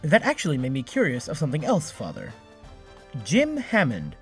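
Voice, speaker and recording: A man's voice: a little high, huge pitch swings, moderate pace, faint music behind. An actor playing an earnest, curious male android hero in an audio drama, formal and questioning in his phrasing. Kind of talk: cinematic audio drama acting.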